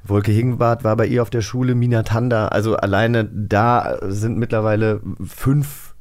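A man's voice: fairly low pitch, fairly even intonation, moderate pace, darkish tone. A man from rural Germany hosting a show, talking in a casual, conversational interview style. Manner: conversational, informal. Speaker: host of an audio drama magazine show.

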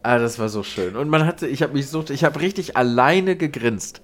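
A man's voice: medium pitch, animated, normal speed. Casual German guy with a stuffy nose. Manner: colloquial, swears freely. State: blocked nose from an oncoming cold. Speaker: podcast co-host. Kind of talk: casual chat and anecdotes.

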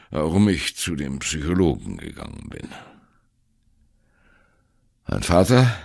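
A man playing a wry, embittered old German jazz drummer telling his life story in a radio play, with a gravelly, husky voice and a sardonic, conversational manner. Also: a bass voice, no room sound, studio quality, exaggerated voice. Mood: bitter, ironic. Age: older. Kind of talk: dramatic first-person radio play monologue.

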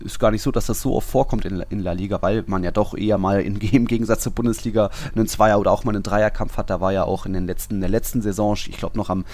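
Male, low voice, sports podcast host, detailed, list-like delivery of stats. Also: fairly even intonation, fast talker, few pauses, a little mellow. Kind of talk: sports podcast talk, running through statistics.

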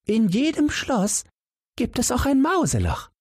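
Tiny eager storybook mouse, very high squeak, confident. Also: male voice, wildly swooping pitch, a little slow, darkish tone, slightly rough, dry recording, clean studio sound, exaggerated voice.

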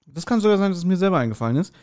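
A man's voice: high-pitched, expressive, very fast, darkish tone. A man chatting casually and teasingly as a podcast co-host.

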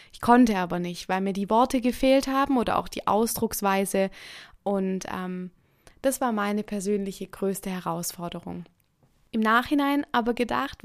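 Young woman, podcast host, earnest and reflective, lots of hesitant fillers, mid-pitched voice, dry close sound. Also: dry recording. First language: German. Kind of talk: casual solo podcast monologue.

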